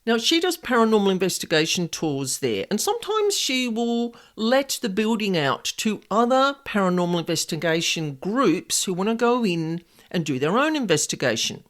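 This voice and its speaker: A middle-aged woman hosting a podcast, telling stories in a loud, extravagant, easily sidetracked way. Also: mid-range voice, sing-song, normal speed, few pauses. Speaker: podcast host, paranormal investigator. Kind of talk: casual storytelling podcast.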